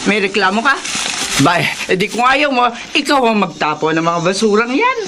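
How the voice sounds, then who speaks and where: An actress playing a rich, bad-tempered, suspicious older sister in a Filipino radio drama, scolding and imperious, with a Filipino accent. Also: female voice, medium pitch, sing-song, normal speed, background noise, close to an everyday voice. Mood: bossy, defensive.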